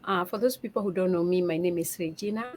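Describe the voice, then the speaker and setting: Panel guest, woman, lowish voice, faint background noise, brisk. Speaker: panel guest, nurse and mother. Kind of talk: casual panel talk.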